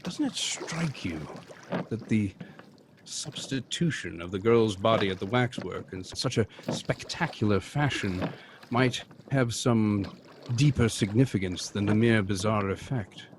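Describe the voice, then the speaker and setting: Man as gentleman amateur detective, radio mystery, deliberate thoughtful delivery, lower pitch, noticeable background noise. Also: expressive. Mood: brooding deduction. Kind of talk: old-time radio drama acting. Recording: live stream with clear background noise.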